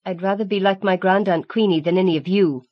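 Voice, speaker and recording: A woman voicing a bright, prickly young schoolgirl with a sharp, defiant manner in an audiobook. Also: medium pitch, fairly even intonation, a little fast, highs cut off, voice pushed up, close to an everyday voice. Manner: sharp, snappish.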